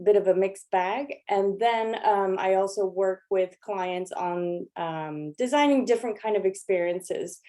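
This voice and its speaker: A woman gives an informal workshop talk over her slides. Her voice is mellow and soft, sitting at an everyday middle pitch. She speaks in a relaxed, chatty way, often pausing on ums and restarting her sentences. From Los Angeles. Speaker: workshop leader.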